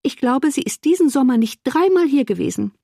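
Woman acting whiny, self-pitying young wife, high-pitched. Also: animated, fairly quick, vocal fry, warm tone, no room sound, studio quality, full character voice. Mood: plaintive. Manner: complaining, plaintive, aggrieved. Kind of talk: audiobook character dialogue.

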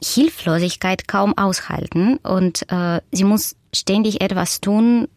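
A woman's voice: mid-range voice, slow pace, darkish tone, dry recording. Hesitant woman author, very dry sound. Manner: thoughtful, hesitant with frequent fillers. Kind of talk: interview about her novel.

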